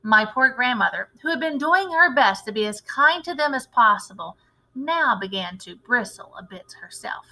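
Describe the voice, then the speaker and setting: A woman's voice: on the high side, animated, moderate pace, pushed and bright, poor audio. A woman narrating a children's novel aloud as a teacher would for a class.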